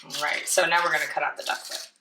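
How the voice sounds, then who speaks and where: A woman's voice: low-pitched, little pitch movement, fast. A woman giving a casual craft demonstration for children, chatting playfully with self-deprecating asides. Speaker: children's library storytime presenter. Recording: roomy, distant mic, clear background noise.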